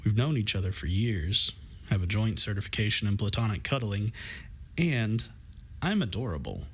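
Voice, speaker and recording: Guy doing a warm teasing best friend for a roleplay audio, kinda low voice, wry but really reassuring. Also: big pitch swings, normal speed, slightly rough, exaggerated voice. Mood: supportive, reassuring. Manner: playful, affectionate, wry, reassuring. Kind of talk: intimate scripted roleplay audio drama.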